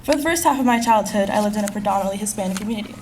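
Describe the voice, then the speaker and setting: A teenage girl from California reads her senior speech with an earnest, steady conviction. She moves through it at a brisk clip. A faint hum of room noise sits behind her voice. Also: mid-range voice. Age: teens. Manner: earnest, reading from a script at a quick clip. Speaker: graduating high school senior giving a speech. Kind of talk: prepared speech. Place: school event.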